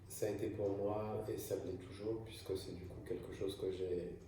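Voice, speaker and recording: A man from France gives a slow, hesitant talk full of fillers and restarts, with a low, flat voice. His tone is dark and mellow. He sits back from the microphone, and the room rings with heavy echo. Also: distant mic, slight background noise. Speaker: video creator. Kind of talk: improvised casual monologue. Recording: echoey room, voice from afar.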